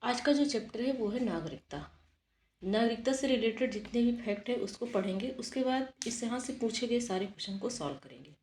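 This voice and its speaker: A woman's voice: on the high side, lively intonation, a little fast, darkish tone, roomy sound, a little hiss or hum. A woman teacher giving an exam-prep lesson, explaining steadily and asking and answering her own questions.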